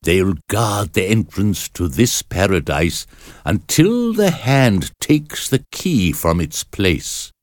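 A man's voice: on the low side, very animated, slow, no room sound, studio quality. A man voicing a commanding, emphatic astrologer and magician in a storybook. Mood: proud. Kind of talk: storybook character reading.

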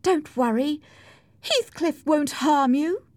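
A woman voicing a passionate, angry young married woman with theatrical, shrill outbursts in an audiobook story. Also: huge pitch swings, measured pace, a little mellow, slightly rough, dry recording, heavily acted. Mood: sarcastic. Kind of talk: audiobook character acting.

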